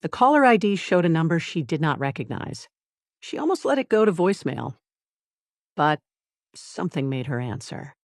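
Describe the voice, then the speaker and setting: A narrator reading a dramatic scripted story in a deliberate way, with pauses and short emphatic phrases. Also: mid-range voice, very animated, dry recording, clean studio sound.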